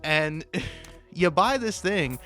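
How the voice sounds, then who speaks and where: A man doing a rambling, casual comedic monologue, halting and profane, often stammering and cutting himself off. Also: on the high side, animated, bright, forceful tone, soft background music. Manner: profane, halting, self-interrupting with stammered repeats; chuckles often while talking. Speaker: host of a comedic video.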